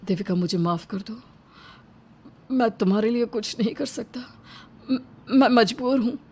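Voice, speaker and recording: Casting: male actor for cartoon village patriarch, high-voiced, stern, indignant, declamatory scolding. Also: a little slow, darkish tone, husky, heavily acted. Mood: helpless. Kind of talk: Hindi moral-story cartoon dialogue.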